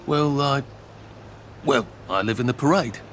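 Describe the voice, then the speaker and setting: A man's voice: mid-range voice, a little slow, a little hiss or hum, lightly acted. A man with an English accent playing a polite, timid, wry everyman hero who mutters dry, self-deprecating remarks in a video game. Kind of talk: video game cutscene acting.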